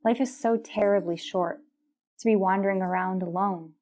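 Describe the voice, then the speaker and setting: A woman's voice: mid-range voice, steady tone, normal speed, a little mellow, close to an everyday voice. An actress playing a doomed young woman stowaway in an audio drama, tender, wistful and resigned.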